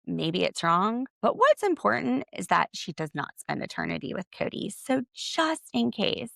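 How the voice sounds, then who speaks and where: A woman's voice: sing-song, normal speed, vocal fry, bright, forceful tone, no room sound, studio quality. A young woman hosting a podcast, recapping in a chatty, sarcastic way. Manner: chatty and sarcastic, acting out quotes in a high voice. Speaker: podcast host. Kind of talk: casual recap chat.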